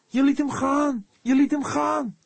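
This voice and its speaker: A hot-headed young male detective, played by a male audiobook narrator, pitched far up from a low register. He speaks loudly and eagerly, with an excitable, indignant edge. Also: a little slow, vocal fry, no room sound, exaggerated voice. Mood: heated, indignant. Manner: loud, excitable, heated delivery.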